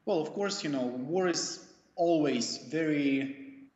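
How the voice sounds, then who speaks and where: A man answering as a remote guest in a news interview, slow and deliberate with hesitations. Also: on the high side, darkish tone, roomy sound, long reverb tail, highs cut off.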